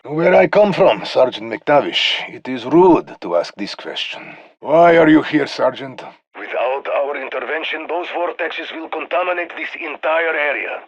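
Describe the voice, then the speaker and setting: A man's voice: a little high, animated, a little slow. A man playing a stern, dry Russian military handler in a video game, giving clipped orders over radio in a Russian accent. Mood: guarded, wry, then urgent, stern. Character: stern, dry Russian soldier commanding a strike team. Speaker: mission handler.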